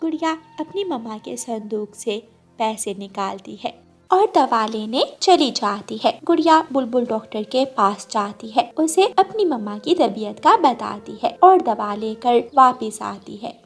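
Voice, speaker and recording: High-voiced, slow-paced woman storyteller. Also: expressive, faint music behind. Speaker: narrator. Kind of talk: scripted cartoon story narration.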